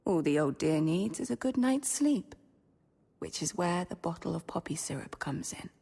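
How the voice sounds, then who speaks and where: Rebellious novice, sixteen, radio drama: husky-breathy, confiding, heavily reverberant sound. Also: female voice, on the low side, expressive, normal speed, mellow, full character voice. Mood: secretive excitement. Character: rebellious, scheming sixteen-year-old novice girl. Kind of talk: radio drama acting and inner monologue.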